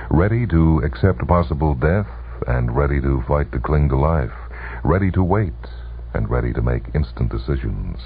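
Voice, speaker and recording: A man narrating a short story for radio in a measured, suspenseful, dramatic reading, heard on a muffled old broadcast. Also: extremely low pitch, slightly rough. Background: music.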